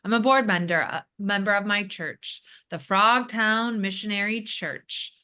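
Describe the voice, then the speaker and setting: Slow, earnest, folksy churchgoer, played by a woman. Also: medium pitch, sharp tone, smooth. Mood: earnest, pleased. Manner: earnest, deliberate, spells things out. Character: earnest, folksy evangelical church board member and writer. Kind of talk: scripted comedy character at a table read.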